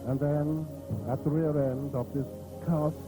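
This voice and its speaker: A man with an Irish accent giving grave, measured live commentary on a funeral procession in an old radio style, pausing between short phrases. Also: a little high, level tone, low-quality recording. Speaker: radio outside-broadcast commentator. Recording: old reel-to-reel radio tape, band music behind. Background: loud marching band music.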